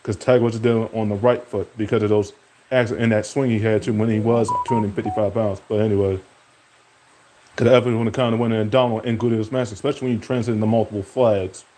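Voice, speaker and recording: A man's voice: level tone, fast talker, background noise. A young man streaming, rambling from topic to topic in casual talk and speaking to an absent rival. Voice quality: deep voice.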